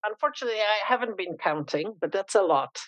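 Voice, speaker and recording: A woman's voice: mid-range voice, animated, fast, a bit bright, no echo, muffled recording. A woman hosting an interview, asking chatty, encouraging questions. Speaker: host.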